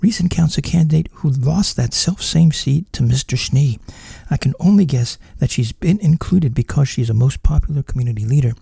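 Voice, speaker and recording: A man voicing a serious male field reporter who describes a tense meeting in a hushed, careful way. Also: medium pitch, lively intonation, a little fast, vocal fry, few pauses, husky, no echo, studio quality, full character voice. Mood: grave. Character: measured, serious male reporter outside a mansion.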